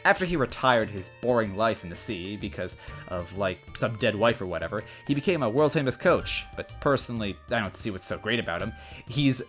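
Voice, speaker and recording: A man's voice: lowish voice, animated, brisk, fairly bright tone, faint music behind. Man putting on a brash, pushy young princess. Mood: dismissive. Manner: casual, blunt, filler-heavy talk.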